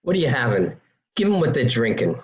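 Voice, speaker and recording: A man's voice: medium pitch, very animated, a little fast, natural voice. Man playing a cocky middle-aged New mobster, muffled sound. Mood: jovial. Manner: loud, teasing, familiar. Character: cocky fifty-year-old male mobster, jovially needling. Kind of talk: dramatic screenplay table read. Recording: dull lo-fi home recording.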